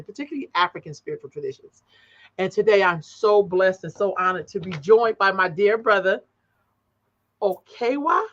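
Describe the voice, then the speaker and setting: A woman's voice: mid-range voice, a bit bright. A woman, hosting a casual conversational interview over an online call. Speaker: interview host. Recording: dull, lo-fi online call audio.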